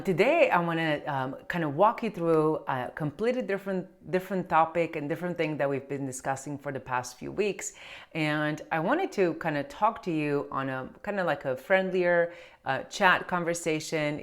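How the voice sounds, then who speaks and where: Low-pitched woman in her 40s, chatty, lots of ums and restarts. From Romania. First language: Romanian. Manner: chatty, many fillers and restarts. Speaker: accountant and business owner. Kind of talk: casual chat to camera.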